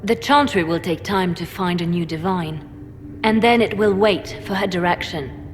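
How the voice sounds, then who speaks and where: A woman's voice: fairly low pitch, normal speed, a little hiss or hum, lightly acted. Cool, shrewd woman in a reverberant hall, acted. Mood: grave, measured. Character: cool, shrewd female advisor to a holy order. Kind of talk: fantasy game voice acting. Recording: echoing hall reverb, faint music.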